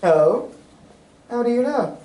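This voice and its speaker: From a distance in an echoey room with faint noise, a man plays a loyal gentleman physician in a radio play. He is polite, earnest and curious. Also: very high-pitched, animated, slow pace, full character voice. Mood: friendly, curious. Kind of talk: stage reading of a golden-age radio drama. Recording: distant roomy stage recording, faint noise.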